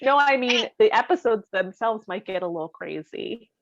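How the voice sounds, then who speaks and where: A woman's voice: on the high side, expressive, normal speed, lo-fi sound, noisy background. A woman co-hosting a podcast in casual comedic chat, with a giggly, self-deprecating manner. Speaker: podcast co-host.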